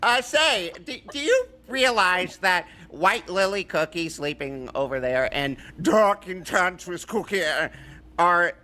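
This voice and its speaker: A man's voice: sits high, lively intonation, takes it slowly, pushed and bright, heavily acted. A man playing a small, wise but awkward fantasy hero in a live table read, hesitant and stammering. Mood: awkward, amused. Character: short little guy, wise beyond his size, awkward.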